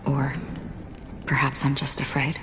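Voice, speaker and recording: An actress voicing a melancholy, soft-spoken wandering swordswoman in a video game, measured and solemn, with breathy hushed phrase endings. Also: extremely low pitch, monotone, long reverb tail, noisy background. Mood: sorrowful, reflective.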